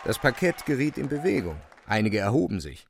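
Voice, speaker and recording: A man's voice: mid-range voice, big pitch swings, fairly quick, gravelly, husky, some chatter behind. A man narrating a literary radio drama in a dramatic storytelling style.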